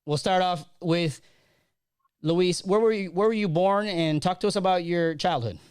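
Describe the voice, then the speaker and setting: A man hosts an interview with a smooth, resonant voice pitched a little high. He prompts his guest with encouraging follow-up questions. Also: normal speed, a little mellow. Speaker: interviewer, host.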